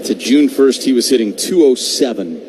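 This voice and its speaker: A man's voice: mid-range voice, lively intonation, normal speed, background noise. A man giving baseball commentary as a radio broadcaster.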